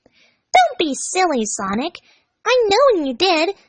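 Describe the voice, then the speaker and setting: Woman putting on a high, gushing cartoon girl hedgehog. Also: wildly swooping pitch, slow pace, no room sound, heavily acted. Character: bubbly, lovestruck, oblivious young female hedgehog.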